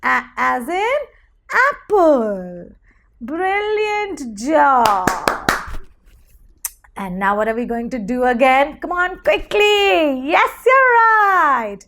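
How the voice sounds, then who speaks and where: A woman teacher leading a phonics lesson for young children, enunciating deliberately and giving encouraging prompts and praise. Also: sits high, a little hiss or hum. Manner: animated, encouraging, deliberate enunciation with repeated call-and-response questions.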